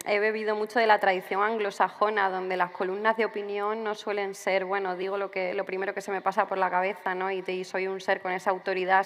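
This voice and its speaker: A woman's voice: medium pitch, in a large hall. A woman writer answering interview questions at a literature festival, thinking aloud with frequent restarts. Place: festival venue.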